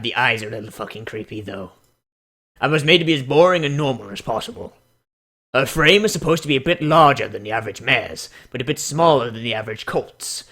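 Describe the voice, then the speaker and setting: A solemn, sweary dramatic reader, played by a man delivers comic character bios. The voice is mid-pitched, sharp and forceful, with slow, deliberate phrasing.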